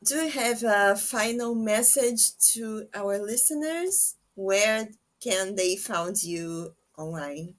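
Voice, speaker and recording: A woman hosts a relaxed-format interview in a medium-pitched voice. She talks slowly, pausing between short phrases as she puts her questions and replies. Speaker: podcast interviewer, host. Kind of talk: casual interview chat.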